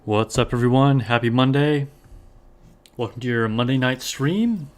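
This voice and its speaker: A man's voice: mid-range voice, normal speed. A man with a slightly scratchy voice chatting casually and informally as he hosts a live stream and greets viewers. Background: music.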